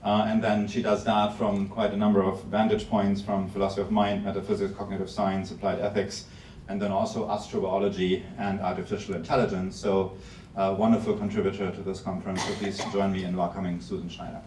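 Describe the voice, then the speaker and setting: Male host, measured and hesitant, distant mic with faint rumble. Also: level tone, a little mellow. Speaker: conference host. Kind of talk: introducing a speaker. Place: conference hall. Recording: echoey hall from far off, faint noise.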